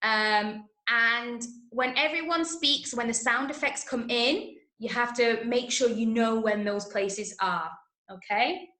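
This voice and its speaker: A woman with an English accent leading an online drama workshop for children, giving clear instructions and often checking in. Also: on the high side, slow, sharp tone. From Manchester, England. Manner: clearly instructional, frequent check-ins with okay and right. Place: indoors, online video session, roomy sound. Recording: roomy echo on online call.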